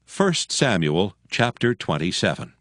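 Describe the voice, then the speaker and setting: A man's voice: on the low side, big pitch swings, measured pace, no room sound, clean studio sound. A man narrating scripture from a script in a solemn, formal storytelling manner.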